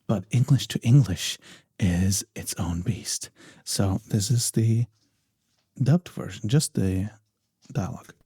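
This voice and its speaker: Low, gravelly, soft-spoken man. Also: slow pace. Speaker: voice actor and ASMR creator. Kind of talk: soft-spoken behind-the-scenes commentary.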